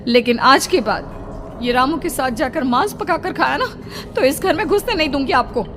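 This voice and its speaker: A woman's voice: sits high, expressive, moderate pace, a bit bright, a little hiss or hum, pitched above the performer's own voice. A woman voicing an emotional village wife in a horror story, exclaiming and pleading with her husband. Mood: scolding. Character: scolding, bashful, easily frightened young village wife. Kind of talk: horror audio story acting. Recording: faint music behind.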